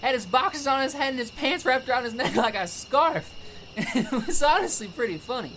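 A man's voice: very high-pitched, lively intonation, a little fast, pushed and bright, a little hiss or hum, exaggerated voice. A man playing a scruffy, middle-aged, crude and amused eccentric loner in an audio drama. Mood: gleeful, crude.

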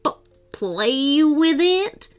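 A woman's voice: a little high, very animated, slow, over loud music. A woman voicing a fussy, pompous male collector in a storybook reading, with a theatrical manner.

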